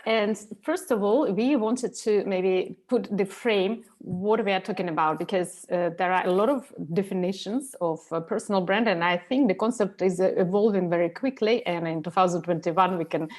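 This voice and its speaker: A woman's voice: mid-range voice, normal speed, hardly any pauses. Hesitant woman co-host. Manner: thoughtful, hesitant, many fillers. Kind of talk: explanatory discussion.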